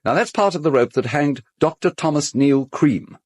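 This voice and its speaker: A man's voice: fairly high pitch, expressive, moderate pace, no room sound, clean studio sound. A man acting in a radio drama as a suave collector fondly showing off morbid relics, with urbane, hesitant asides. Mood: urbane relish.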